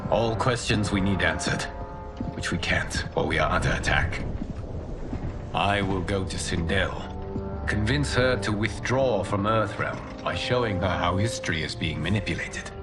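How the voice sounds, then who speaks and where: An actor playing a noble, godlike guardian lord in a video game, speaking in a measured, formal, solemn way. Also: male voice, low voice, over loud music. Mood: solemn, determined. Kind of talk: dramatic video game cutscene acting.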